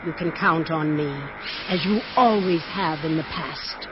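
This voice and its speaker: An actress playing a proud, defiant, ambitious general in a science fiction radio drama, formal and unyielding. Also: female voice, low-pitched, unhurried, over music. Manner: formal, unyielding, self-assured. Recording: muffled radio play, noisy ambience.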